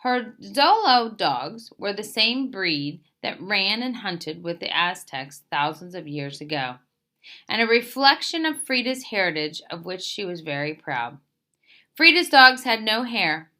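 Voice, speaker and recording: Bright, expressive woman reading a picture book. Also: mid-range voice. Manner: expressive, emphatic phrase openings that settle at sentence ends. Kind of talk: children's picture book read-aloud.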